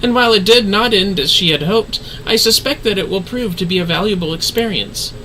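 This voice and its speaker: A man's voice: high voice, a little fast, bright, forceful tone, a little hiss or hum, rough recording. A man voicing a refined, earnest woman leader in a fantasy game, speaking in formal, solemn, archaic phrasing. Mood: warm, formal.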